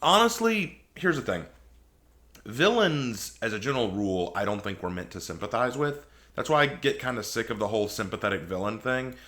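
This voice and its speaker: Rambling man with a lowish voice. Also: animated, a little fast, fairly bright tone. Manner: rambling, opinionated. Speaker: reaction video host. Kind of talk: casual commentary.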